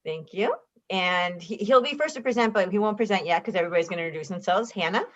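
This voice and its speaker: A woman's voice: medium pitch, fast talker, a bit bright. A woman professor co-hosts a live online panel over a video call. She talks in a loose, chatty way, often restarting her sentences. The sound is slightly dull and lo-fi. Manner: chatty, with frequent restarts and fillers. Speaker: math professor and co-host.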